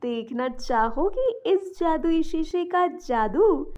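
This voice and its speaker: A woman voicing a mysterious sorceress with a magic mirror, in slow, drawn-out, incantatory speech. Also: extremely high pitch, animated, dark tone, heavily acted. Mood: mysterious, beckoning.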